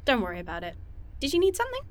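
A woman's voice: sits high, wildly swooping pitch, racing. An actress playing a curious, wry young woman scientist in an audio drama, speaking casually and sardonically. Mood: sheepish, friendly.

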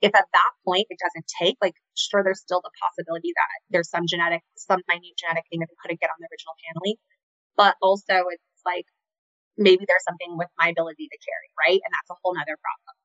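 A woman's voice: on the low side, steady tone, quick pace, fairly bright tone, no echo, muffled recording. A woman in her late thirties telling a personal story in a candid, chatty way, with many "like" fillers. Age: 30s. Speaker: podcast guest.

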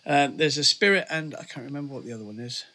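Laughing man, bright husky voice. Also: mid-range voice, fast talker. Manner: laughing, loose, trailing off. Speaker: podcast guest. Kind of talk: casual chat. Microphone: large condenser studio mic.

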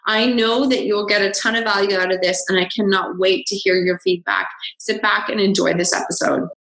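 A woman's voice: medium pitch, fairly even intonation, brisk, gravelly, fairly bright tone. A woman hosting a podcast interview, asking questions and praising answers enthusiastically. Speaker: podcast host. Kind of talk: casual interview. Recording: echoey room.

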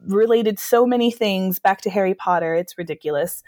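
A woman's voice: medium pitch, moderate pace, dry recording. Upbeat woman podcast co-host, dry sound. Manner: relaxed, upbeat, uses fillers like "um" and "for sure". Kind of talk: casual conversational podcast.

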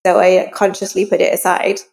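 A woman's voice: on the low side, flat delivery, brisk, gravelly, darkish tone, long reverb tail. A woman talking conversationally as a guest author in an interview.

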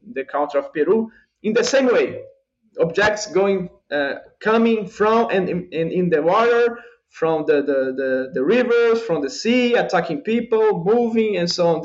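A man with a Brazilian accent speaks in a slightly higher register than most men, stopping and restarting his phrases often. English is clearly not his first language. The audio is somewhat dull and muffled, like a remote call. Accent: Brazilian Portuguese. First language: Portuguese. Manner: halting, many repeated words and restarts. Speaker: guest UFO researcher. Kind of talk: podcast interview answers.

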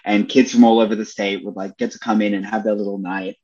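Chatty low-voiced man. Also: little pitch movement, fast, a little mellow, lo-fi sound. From Australia. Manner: chatty and enthusiastic, with many "like" and "you know" fillers. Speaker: podcast guest, Broadway performer. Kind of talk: casual interview chat.

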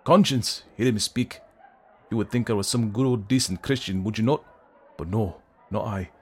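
A man voicing a dissolute old drunkard, proud and scornful, with a forceful, biting, declamatory manner, for a storybook. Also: fairly low pitch, normal speed, husky. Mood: scornful, self-mocking.

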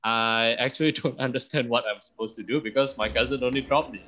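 Young guy from indonesia telling a story, bright forceful voice, kinda lo-fi sound. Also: mid-range voice, fast. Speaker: podcast guest. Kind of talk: storytelling in an interview.

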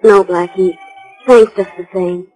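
A reserved, polite working woman played by a radio actress, hesitant and guarded as she speaks. It is a vintage 1940s broadcast, with her voice at a medium female pitch. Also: fairly even intonation, measured pace, a little mellow, faint music behind, lightly acted, poor audio. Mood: reserved, subdued. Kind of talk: 1940s radio drama acting.

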